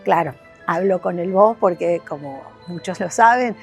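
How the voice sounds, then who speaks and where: A woman's voice: on the low side, lively intonation, normal speed, soft background music. A woman with a Buenos Aires accent hosts as a life coach, chatting casually and directly to the viewer in a rambling way with questions thrown in. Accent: Argentine, Buenos Aires. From Argentina, Buenos Aires. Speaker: life coach and video host. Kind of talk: casual chat to camera.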